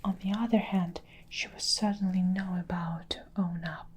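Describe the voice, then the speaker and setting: A woman reading a story aloud in a hushed whisper, slow and soft. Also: fairly low pitch, steady tone, a little hiss or hum.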